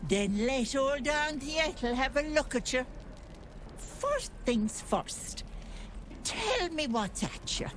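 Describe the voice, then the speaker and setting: Lilting, doting old auntie. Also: female voice, high voice, very animated, slow pace, a little hiss or hum, exaggerated voice. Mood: coaxing. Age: older. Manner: folksy, coddling, doting endearments. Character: kindly fussing old village healer auntie.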